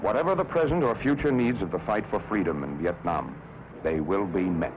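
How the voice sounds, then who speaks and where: A man's voice: deep voice, lively intonation, brisk, heavy background noise. An American man narrating a 1960s government propaganda film in a solemn, emphatic, declamatory manner. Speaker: documentary narrator. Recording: dull old film soundtrack with music. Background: music.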